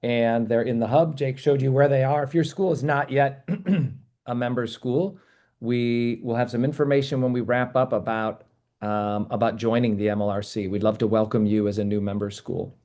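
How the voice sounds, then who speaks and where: A man hosting a webinar, speaking in an appreciative, measured way as he thanks people and takes questions. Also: mid-range voice, fairly even intonation, warm tone. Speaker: webinar host. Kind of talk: webinar presentation and Q&A.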